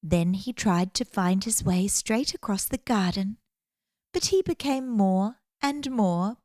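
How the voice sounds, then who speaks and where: A woman reading a children's story aloud in an expressive, gentle, deliberate storytelling manner. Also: medium pitch, dry recording, clean studio sound.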